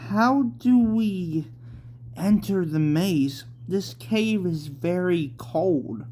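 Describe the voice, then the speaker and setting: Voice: medium pitch, lively intonation, drawn-out words, dark tone, slight background noise, pitched above the performer's own voice. A narrator voicing a brusque, vengeful woman in her twenties in an amateur manga read-aloud. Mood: wary, questioning.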